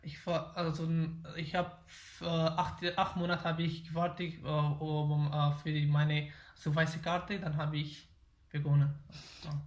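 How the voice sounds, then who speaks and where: Interviewee: Afghan man, 19, Pashto native, halting German, monotone, roomy echo. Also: a little high. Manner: hesitant, frequent fillers and restarts. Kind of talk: interview about learning German.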